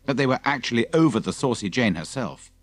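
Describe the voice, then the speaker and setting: A man's voice: mid-range voice, lively intonation, brisk, no room sound. A man reading scripted narration from a children's adventure story as an audiobook narrator.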